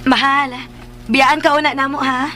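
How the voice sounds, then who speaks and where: A woman's voice: high voice, level tone, unhurried, sharp tone, slight background noise. A woman playing a caring, puzzled younger woman in a radio drama, hesitant and confiding as she speaks.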